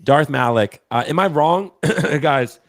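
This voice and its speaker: A man's voice: a little high, expressive, unhurried, no echo, studio quality. A man hosting a casual collectibles podcast with a worn, strained voice, chatting loosely and passing questions to his co-host. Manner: loose, sweary, keeps throwing to his co-host.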